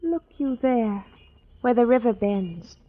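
A gentle, wide-eyed young maiden with a high voice and unhurried delivery. She speaks in archaic phrases, as in a radio play of long ago. The sound is slightly dull and aged. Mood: earnest, trusting. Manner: stylized archaic phrasing, deliberate. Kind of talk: old-time radio drama acting. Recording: dull old radio recording.

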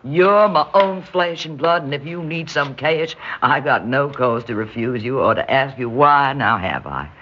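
A woman's voice: extremely low pitch, animated, a little slow, hardly any pauses, fairly bright tone, exaggerated voice. An actress in an old-time radio drama playing a genteel, lonely shopkeeper who dotes on her cousin, fluttery and pleading in manner. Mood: eager, doting. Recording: dull noisy old radio recording.

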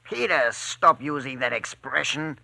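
An actor playing a cold, commanding criminal boss in an old radio drama, speaking in clipped, condescending, formal phrases. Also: male voice, medium pitch, animated, a little slow, gravelly, pushed and bright, no room sound, heavily acted. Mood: brisk, commanding.

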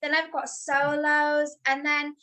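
A woman with a notably high-pitched, bright voice, chatting as an interview guest. She is blunt and open, and she laughs easily between her points. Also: completely flat delivery, slow pace. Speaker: interview guest, adult performer. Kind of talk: casual interview chat.

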